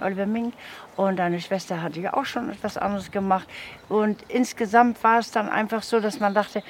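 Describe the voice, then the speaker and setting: A woman's voice: medium pitch, a little hiss or hum. A woman speaking as an audio drama producer, recollecting casually in a rambling, self-correcting and emphatic way.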